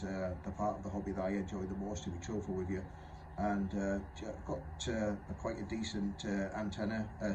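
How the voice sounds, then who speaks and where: A man in his fifties chatting casually over amateur radio, explaining things in a halting way. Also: on the low side, noisy background. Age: 50s. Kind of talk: casual radio contact chat.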